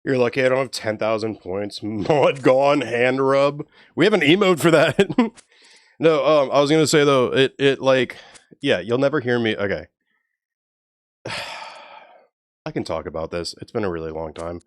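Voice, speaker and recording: A young man with a low, even voice, chatting casually and swearing freely with his live stream chat. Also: measured pace, pauses often, no echo, clean studio sound. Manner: laid-back, profane, joking with chat.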